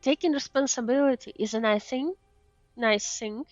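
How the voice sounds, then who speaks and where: Woman VTuber, very high voice, unhurried casual reactions, sympathetic interjections, repeated phrases, slightly dull audio with music behind. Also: no room sound. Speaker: VTuber game streamer. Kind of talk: casual live reaction commentary.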